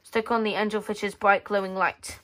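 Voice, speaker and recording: Casual female read-aloud voice, medium pitch, halting, with offhand muttered asides. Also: little pitch movement, a bit bright, a little hiss or hum. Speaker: magazine reviewer. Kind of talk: casual read-aloud walkthrough of a children's magazine. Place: indoors at a tabletop.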